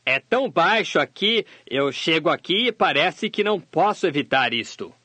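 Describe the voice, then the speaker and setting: A man voicing a sermon translation, delivering it steadily and measured. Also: a little high, animated, bright, forceful tone, slightly rough, no room sound. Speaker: sermon interpreter voice-over. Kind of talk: translated sermon read aloud.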